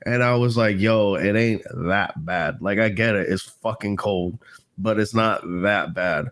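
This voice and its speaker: A man's voice: lowish voice, a little slow, a bit bright. Stuffy, slangy man, recovering from flu. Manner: rambling, slangy, swears a lot. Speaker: podcast co-host. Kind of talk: casual chat.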